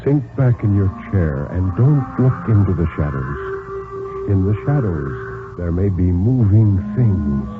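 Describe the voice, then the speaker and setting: A man announcing a 1950s radio suspense show in a deliberate, ominous manner. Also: low voice, big pitch swings. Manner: slow, deliberate, ominous delivery. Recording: vintage 1950s radio, muffled, loud music.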